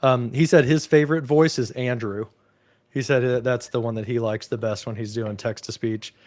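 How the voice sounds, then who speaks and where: A male host leads a casual conversation in a relaxed, chatty way. He leans on "like" and "you know" as he explains things, with a voice of ordinary middle pitch. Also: moderate pace. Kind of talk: casual chat on a video call.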